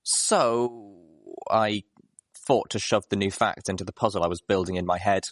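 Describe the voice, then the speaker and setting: A man reading a story aloud as a scripted, expressive narration. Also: fairly low pitch, normal speed, no echo, clean studio sound. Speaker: audiobook reader.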